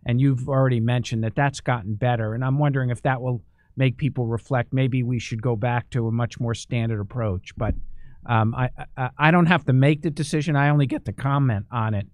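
A man's voice: mid-range voice, a little fast, a little mellow, dry recording. Middle-aged guy hosting, chatty earnest questions, close dry mic. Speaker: host. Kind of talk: interview. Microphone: studio microphone on stand.

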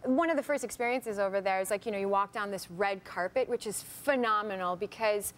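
A young woman from Canada, a guest on a talk show, chatting casually and telling lively stories, recorded on a lapel mic in a television studio. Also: medium pitch, a little fast. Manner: animated storyteller, acts out quoted voices. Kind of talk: casual chat.

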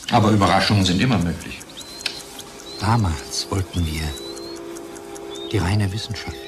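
A measured research pharmacologist in a radio play, acted by a man. He has a low male voice and explains things dryly, hedging as he goes. Music plays clearly behind him. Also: natural voice. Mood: wistful. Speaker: pharmacologist character. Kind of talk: German radio drama acting.

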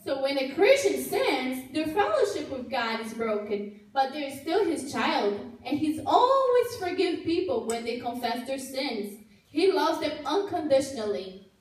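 A woman's voice: high voice, sing-song, measured pace. A woman voicing a cheerful, helpful girl puppet in a church puppet show, explaining things patiently, recorded from far off in a very echoey hall. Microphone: far camera.

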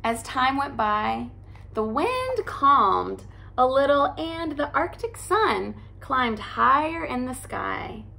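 A young woman, a teacher, reading a children's picture book aloud in an animated, expressive way at home. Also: sits high, slow pace, vocal fry. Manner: animated, expressive storytelling with character voices. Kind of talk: storybook read-aloud. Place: living room by a fireplace, indoors.

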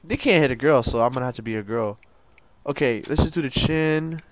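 A man casually commenting on a video game he plays, joking and laughing, jumping from loud squeals to low mumbles. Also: brisk, dry recording. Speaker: gameplay streamer. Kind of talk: casual let's-play commentary.

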